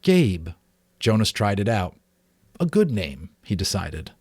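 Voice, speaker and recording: Low-voiced man reading a novel aloud. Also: a little mellow, no echo, studio quality. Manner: informal, stumbles and self-corrects. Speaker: amateur audiobook reader. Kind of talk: dramatic storybook reading with asides.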